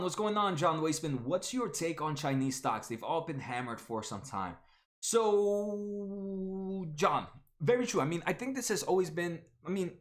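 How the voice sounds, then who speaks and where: A man in his late twenties hosting a casual stock market livestream, talking to viewers by name with lots of fillers and restarts. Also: a little high. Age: 20s. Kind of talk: casual livestream chat reading viewer comments.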